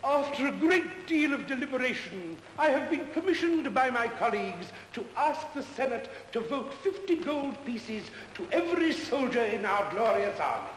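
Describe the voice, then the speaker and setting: Pompous, high-pitched Roman senator, echoing hall. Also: male voice, big pitch swings, normal speed, hardly any pauses. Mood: pompous oratory. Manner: pompous, declamatory. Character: pompous Roman senator making a formal oration. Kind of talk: 1930s film acting. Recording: echoey hall, faintly noisy 1930s film soundtrack.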